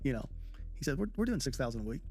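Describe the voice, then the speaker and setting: A man telling an anecdote in an interview, excitable and emphatic, repeating himself as he goes. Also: medium pitch, very fast, faint music behind. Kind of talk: conversational anecdote.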